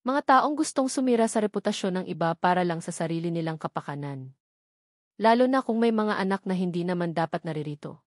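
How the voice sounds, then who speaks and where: A woman's voice: lowish voice, expressive, brisk, no echo, studio quality, close to an everyday voice. A woman with a Filipino accent voicing a cold, contemptuous, domineering older father in a narrated drama. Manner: contemptuous, threatening.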